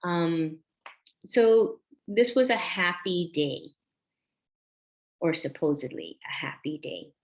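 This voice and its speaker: A woman in her forties, born in Vietnam, giving a reflective conference talk built on a personal story, pausing often with soft fillers. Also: deep voice. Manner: slow, reflective, with frequent ums. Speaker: conference speaker.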